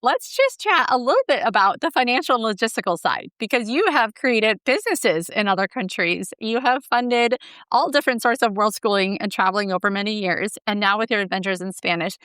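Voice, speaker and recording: A woman's voice: on the high side, expressive, fairly quick, a bit bright, dry recording, clean studio sound. A woman hosting a podcast, asking thoughtful, reflective interview questions. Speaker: podcast host.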